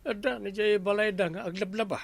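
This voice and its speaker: An actor playing an excitable, teasing village captain in an Ilocano radio comedy drama, with an Ilocano accent. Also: male voice, high-pitched, fairly quick, creaky. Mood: amused.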